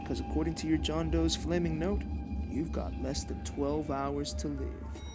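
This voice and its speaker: A man playing a wry, defiant young vigilante partner in an audio drama, with a sardonic, needling delivery and some gravel. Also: on the high side, steady tone, unhurried, music in the background, lightly acted.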